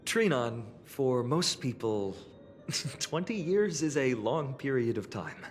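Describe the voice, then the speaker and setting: Thoughtful, expressive young male adventurer. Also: mid-range voice, takes it slowly. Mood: musing. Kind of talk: scripted video game character acting. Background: background music.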